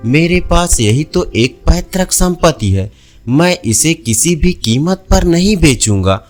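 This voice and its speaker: A man's voice: fairly high pitch, lively intonation, slow, faint music behind. A man voicing an earnest village boy.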